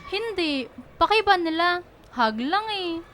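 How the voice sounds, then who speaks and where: A woman's voice: very high-pitched, expressive, slow pace, sharp tone, a little hiss or hum, heavily acted. A woman playing a proud, caring young student girlfriend in a radio romance drama, speaking earnestly and pleadingly. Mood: affectionate, playful.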